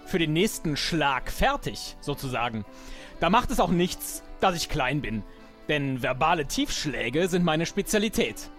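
A man acts out a small, cocky older pirate recruit with a high voice that swings animatedly. He boasts hesitantly in an improvised audio play, with music behind him. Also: big pitch swings, measured pace, a bit bright. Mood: boastful.

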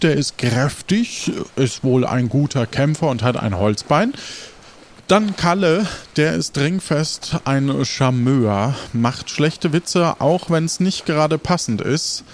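A man's voice: on the high side, lively intonation, takes it slowly. A man hosting an audio role-play game as its game master and narrator. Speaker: game master, podcast host.

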